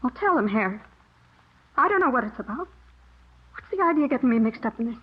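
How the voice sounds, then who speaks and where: A woman's voice: fairly high pitch, lively intonation, fast, husky. An actress playing the self-serving girlfriend of a crook, indignant and evasive, in a 1950s radio crime drama. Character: defensive, self-serving kept woman of a crook. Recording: dull old radio recording.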